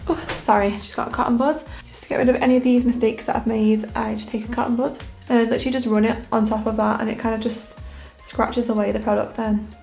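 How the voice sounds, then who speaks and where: A young woman makeup artist talking through a casual makeup tutorial in a hoarse, croaky voice, her delivery relaxed and monotone with plenty of fillers. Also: on the high side, fast talker, soft background music. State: croaky, hoarse voice after illness. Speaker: makeup artist and beauty vlogger.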